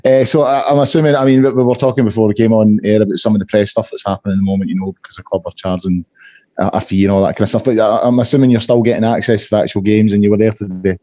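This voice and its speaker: A hoarse middle-aged Scottish man hosting a casual fan podcast, chatty and full of restarts. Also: lowish voice, steady tone, racing, mellow, dry recording, highs cut off. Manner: chatty, repeats fillers and restarts. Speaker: podcast host.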